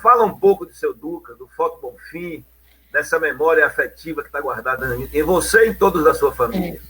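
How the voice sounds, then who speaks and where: A middle-aged man hosting an interview. His voice is bright and forward, with a husky edge, and he leads his guest along conversationally. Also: on the high side, animated, brisk, low-quality recording. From Bahia, Brazil. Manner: conversational, leading questions with frequent "né". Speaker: interview host. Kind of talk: interview questions.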